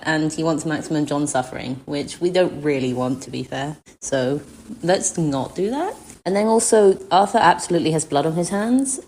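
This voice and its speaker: Low, mellow woman giving her opinion. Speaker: listener sending voice message. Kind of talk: casual opinion monologue.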